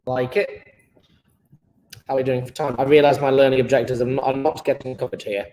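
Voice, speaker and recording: Playful British chemistry teacher, echoey room. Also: male voice, mid-range voice, fairly even intonation, fairly quick, a little mellow. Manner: playful and enthusiastic, joking with pupils. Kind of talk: live online lesson.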